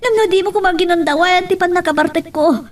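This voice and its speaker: A woman's voice: very high-pitched, quick pace. A woman with an Ilocano accent playing a distressed, pleading young pregnant woman in an audio love drama, recorded at a distance. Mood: tearful pleading.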